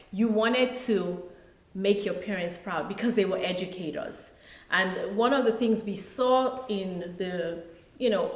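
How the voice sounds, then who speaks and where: امرأة من نيجيريا تروي قصتها بهدوء في غرفة ذات صدى. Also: female voice, medium pitch. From Nigeria. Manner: relaxed, reflective storytelling with soft laughs. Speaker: author and pharmacist. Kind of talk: interview guest. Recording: echoey room.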